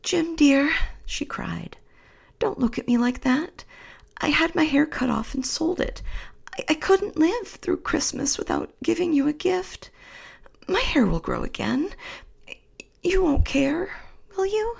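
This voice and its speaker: A woman's voice: high voice, sing-song, unhurried, creaky, voice pushed up, heavily acted. A woman voicing an anxious, loving young wife who talks in a breathless, pleading way.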